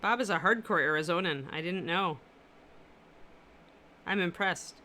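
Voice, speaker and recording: A woman's voice: on the low side, unhurried, pushed and bright, a little hiss or hum. A woman hosting a miniature painting livestream from her apartment, chatting casually and answering viewer comments as she paints, with a powerful voice that carries. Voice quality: powerful, carrying voice.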